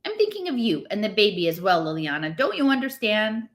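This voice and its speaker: A woman voicing a grumpy, defensive male innkeeper, protesting irritably in an expressive storybook reading. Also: mid-range voice, fairly quick, lightly acted. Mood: indignant. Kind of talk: expressive storybook read-aloud.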